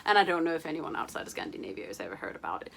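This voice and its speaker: Chatty young woman with a lowish voice. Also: big pitch swings, racing, gravelly, fairly bright tone, slight background noise. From Denmark. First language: Danish. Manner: chatty, playful, swears casually, many fillers. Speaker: book vlogger. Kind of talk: casual chat to camera.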